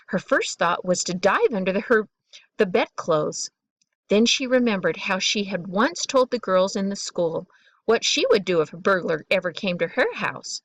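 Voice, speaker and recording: Expressive woman storyteller with lively intonation. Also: mid-range voice, dry recording. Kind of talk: children's bedtime story read-aloud.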